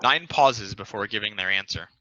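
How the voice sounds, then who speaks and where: A man's voice: fairly low pitch, normal speed, pushed and bright, no echo, dull audio. A man reading stage directions as the narrator of a play table read, in scripted narration.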